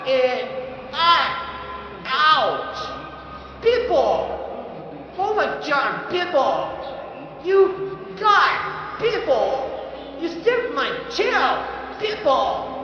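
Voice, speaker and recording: A speaker run through a pitched-up cranky kitten voice filter, rambling and scolding with frequent shouts in a home recording. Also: very animated, extremely slow, long pauses. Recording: echoey lo-fi room with noise.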